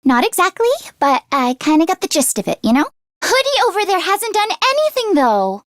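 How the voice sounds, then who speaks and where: A woman voicing a nervous, expressive teenage girl fighter in an English video game, with casual youthful phrasing. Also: very high voice, moderate pace, dry recording, studio quality. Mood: puzzled, casual.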